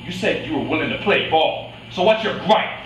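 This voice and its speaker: A man's voice: high voice, normal speed, a bit bright. A man in an amateur staged reading playing a scheming fight fixer, bossy and condescending, with a veiled menace. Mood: bossy, menacing. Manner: wheedling yet menacing, condescending. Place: echoey indoor room, staged reading. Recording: distant echoey room, faint noise.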